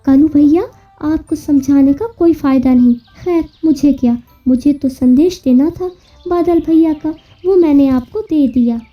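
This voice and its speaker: A woman's voice: high-pitched, unhurried. A woman voicing a small, polite, earnest mother sparrow in a children's cartoon story. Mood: miffed. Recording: muffled audio with music behind.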